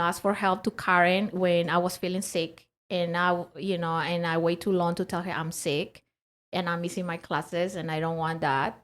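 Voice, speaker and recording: A woman's voice: fairly low pitch, fairly bright tone. A woman from Peru speaking English with a Peruvian Spanish accent, telling her story animatedly in a casual interview and laughing often. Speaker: guest.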